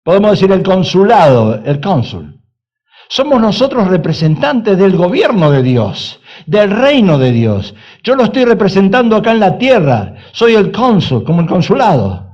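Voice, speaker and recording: A male pastor with a notably high voice delivers an insistent sermon. He hammers his points with repetition and asks questions of his listeners. The recording sounds dull and lo-fi. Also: big pitch swings, moderate pace, darkish tone. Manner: emphatic preaching with repetition and rhetorical questions.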